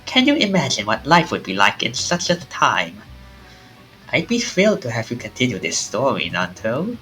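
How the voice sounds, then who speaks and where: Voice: medium pitch, huge pitch swings, normal speed, sharp tone, faint music behind, poor audio. A streamer reading a mysterious, courteous narrator of ghostly tales aloud, in a formal, theatrical manner with small stumbles. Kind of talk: reading visual novel dialogue aloud.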